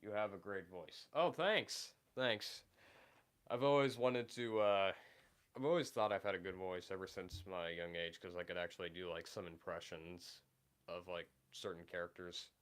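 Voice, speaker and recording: A young man with a low, deep voice chats loosely to viewers on a livestream. His tone is smooth and clean, and his sentences stop and restart often, fading softer at the ends. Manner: halting, many fillers and restarts, trailing off quietly. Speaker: livestream host. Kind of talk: casual livestream chat.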